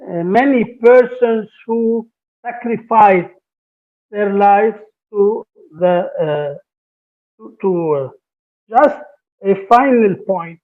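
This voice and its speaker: A man from Lebanon, a priest and professor giving a hesitant conference talk full of fillers and restarts. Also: sits high. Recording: tinny, phone-like conference stream.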